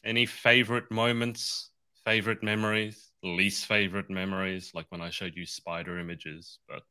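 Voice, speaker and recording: Teasing male podcast host, bright voice. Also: sits low, level tone, normal speed, clean tone. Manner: teasing, jokey. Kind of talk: casual chat.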